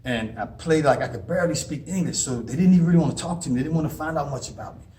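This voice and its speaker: A man's voice: a little high, very fast, darkish tone, slight background noise. Earnest man rambling through advice. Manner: earnest, rambling, frequent restarts. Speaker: advice vlogger and former inmate. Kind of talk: casual advice monologue with personal stories.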